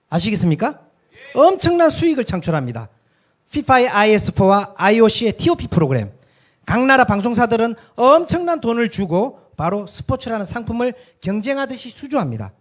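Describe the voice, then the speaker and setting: Animated dialect-speaking man lecturing a crowd. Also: sits high. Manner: emphatic, throws rhetorical questions to the audience. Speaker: speaker at a talk concert. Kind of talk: lecture to a live audience. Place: stage in a lecture hall.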